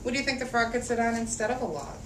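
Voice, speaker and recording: A woman's voice: fairly high pitch, lively intonation. Lady doing storytime for kids, sing-song and emphatic, bit far from the mic in an echoey room. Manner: sing-song, emphatic, drawn-out rhyming phrases with questions to listeners. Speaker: storyteller reading aloud to children. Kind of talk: animated picture-book read-aloud with call-and-response. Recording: roomy, distant mic, faint background noise.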